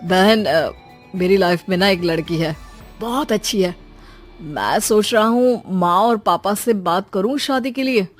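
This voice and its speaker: A woman voicing a soft-spoken gentle young brother. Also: mid-range voice, measured pace, faint music behind, natural voice. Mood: confiding. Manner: soft, hesitant, confiding. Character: earnest young man, gentle trusting brother. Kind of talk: animated storybook voice acting.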